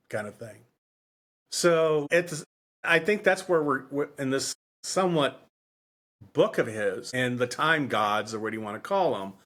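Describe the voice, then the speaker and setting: A man's voice: medium pitch, a bit bright. Guy podcast co-host, loose rambly chat, keeps doubling back on his words. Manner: rambling, repeats himself. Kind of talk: casual book discussion.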